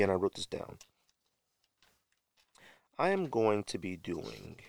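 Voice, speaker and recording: American male podcast host, middle-aged, lowish register, dry close sound, slangy and conversational. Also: no room sound. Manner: conversational, slangy, emphatic repetition. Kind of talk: casual solo podcast talk.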